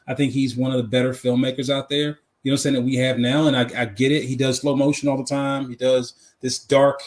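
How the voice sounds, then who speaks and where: A man's voice: medium pitch, level tone, fast. A man chatting casually and informally as a podcast co-host, giving his opinions and repeating phrases for emphasis. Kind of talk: casual live podcast chat. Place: live podcast.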